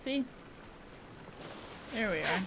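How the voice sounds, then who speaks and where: A woman's voice: medium pitch, animated, takes it slowly, heavy background noise. A woman giving casual gameplay commentary, muttering to herself as she plays a video game. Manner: mutters to herself while playing. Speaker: streamer playing a video game.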